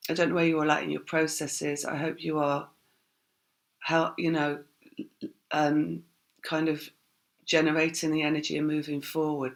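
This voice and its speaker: A woman reads tarot in a relaxed, meandering way and keeps circling back over her thoughts. Her voice is croaky and gravelly, with a lot of fry, and her pitch stays flat. She pauses often on soft fillers. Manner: rambling, reflective, many fillers. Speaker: tarot reader. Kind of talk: casual spiritual reading.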